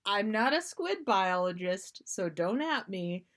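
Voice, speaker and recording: A woman podcast host reading fan fiction aloud on a livestream in an amused, expressive storytelling way. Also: mid-range voice, takes it slowly.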